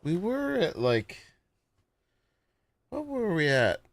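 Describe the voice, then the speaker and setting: A man casually chatting to viewers as he plays and builds in a survival game, with a sleepy voice and a relaxed, rambling manner. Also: sits high, slow pace, creaky, a little hiss or hum. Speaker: gaming streamer. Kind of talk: casual gameplay commentary.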